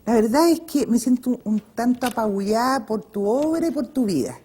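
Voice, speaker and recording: Husky, higher-voiced woman interviewer. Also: lively intonation, moderate pace, mellow. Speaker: television interviewer and host. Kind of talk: conversational interview.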